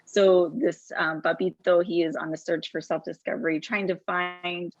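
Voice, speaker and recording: Low gravelly woman on a video call. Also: little pitch movement, normal speed, dry recording. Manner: earnest, many fillers. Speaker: children's book author and teacher. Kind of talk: book presentation on a video call. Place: home room. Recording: dull dry video-call audio.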